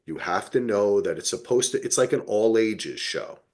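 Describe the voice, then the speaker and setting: Low-voiced, sweary man chatting casually. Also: lively intonation, normal speed. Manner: sweary, emphatic, enthusiastic. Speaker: podcast co-host. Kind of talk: casual fan chat about TV.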